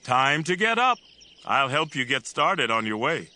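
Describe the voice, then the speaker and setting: An actor playing a calm, authoritative male prophet in dramatized scripture, assured and hospitable. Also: mid-range voice, unhurried, full character voice. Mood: calm, confiding.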